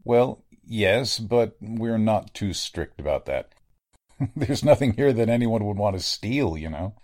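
Man playing a low-voiced, courteous morgue doctor. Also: expressive, measured pace, a little mellow. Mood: genial, dismissive. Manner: hesitant, courteous, formal. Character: polite, evasive male morgue doctor, hesitant and grave. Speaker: actor in an audio drama. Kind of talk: 1940s radio drama acting.

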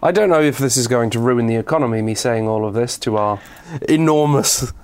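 A man's voice: mid-range voice, a little fast, darkish tone, clear, resonant tone. A man chatting loosely on a podcast, his voice slightly congested from a recent illness. He rambles through stories with jokey asides and playful quips. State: recovering from illness, a little congested. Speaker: podcast co-host. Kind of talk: casual chat. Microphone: remote call setup.

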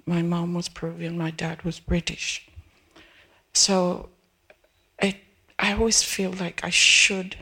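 A woman in her seventies from Peru, an audience member speaking haltingly at a live event, pausing and restarting as she searches for words. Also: on the low side, level tone, husky. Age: 70s. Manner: hesitant, restarting, soft and searching. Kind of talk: halting personal testimony.

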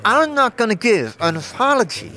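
A man voicing an excitable, wisecracking floating skull in a fantasy audio drama, sarcastic and stammering when flustered. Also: high voice, moderate pace, a little hiss or hum, voice pushed up, exaggerated voice. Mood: defiant, flustered.

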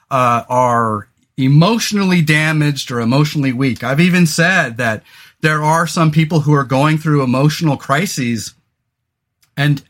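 A man in his forties talks through a casual podcast chat, his voice sitting a little high for a man. He rambles, doubles back on himself and fills gaps with "um" and "you know," dropping the odd curse along the way. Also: a bit bright. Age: 40s. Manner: rambling, many fillers and restarts, swears casually. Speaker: podcast host.

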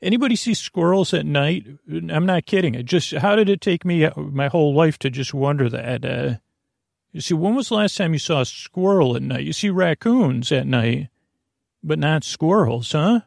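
A man with a creaky voice hosting a podcast in a meandering, halting monologue, often wandering off on tangents and interrupting himself. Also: fairly high pitch, lively intonation, darkish tone, dry recording, studio quality. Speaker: podcast host. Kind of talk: rambling bedtime podcast monologue.

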